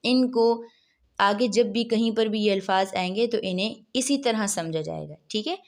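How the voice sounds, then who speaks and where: A woman's voice: medium pitch, a little mellow. Woman lecturing on law, question-and-answer style. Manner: asks herself questions and answers them, reading English legal text slowly. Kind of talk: recorded lecture.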